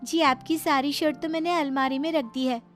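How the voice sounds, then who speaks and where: Woman voicing a naive, high-pitched young village bride. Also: fairly even intonation, moderate pace, soft background music, lightly acted. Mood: polite, explaining. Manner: simple, artless, polite to elders. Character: naive young village daughter-in-law, earnest and innocent. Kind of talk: Hindi cartoon storybook dialogue.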